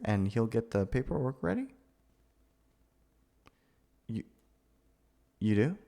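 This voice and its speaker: A man's voice: medium pitch, gravelly, full character voice. A young man playing a nervous, sweet, awkward roommate in an ASMR roleplay, stammering and hesitant. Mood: nervous, pleased. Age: young adult. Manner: flustered, stammering, hesitant, sighing. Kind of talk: ASMR boyfriend roleplay, acted monologue.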